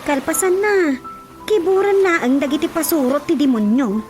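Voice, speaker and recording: A woman's voice: high-pitched, lively intonation, normal speed. A woman with a Filipino accent playing a skeptical, worried villager in a radio drama. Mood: doubtful. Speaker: actor. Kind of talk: Ilocano radio drama acting. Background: music.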